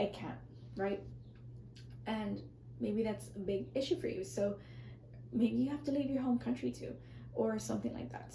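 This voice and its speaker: A woman with a scratchy, strained voice reading tarot in a casual, rambling way, often correcting herself and speaking softly to viewers. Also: mid-range voice, echoey room. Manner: rambling, self-correcting, soft and reassuring. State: scratchy, strained voice, clearing her throat. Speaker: tarot reader.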